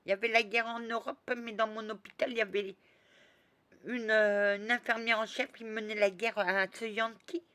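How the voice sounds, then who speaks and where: A woman telling a rambling, lively story in a casual spoken way, full of restarts and asides. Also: a little high, moderate pace, no echo. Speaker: storyteller recounting past lives.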